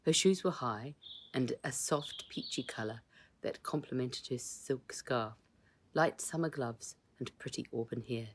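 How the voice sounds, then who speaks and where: A woman's voice: very deep voice, measured pace, background noise. A woman reading a children's storybook aloud as scripted narration, as its author.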